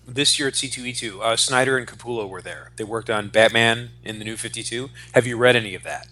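A man's voice: mid-range voice, fast talker, pushed and bright. A man in his forties co-hosting a podcast, talking casually about geek culture with enthusiasm and plenty of swearing. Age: 40s. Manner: profane, enthusiastic. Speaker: podcast co-host.

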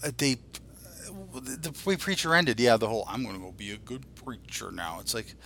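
A man's voice: medium pitch, moderate pace, bright, forceful tone, a little hiss or hum. A man in his forties co-hosting a podcast, ranting casually in a blunt, profane, irreverent way. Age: 40s. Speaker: podcast co-host.

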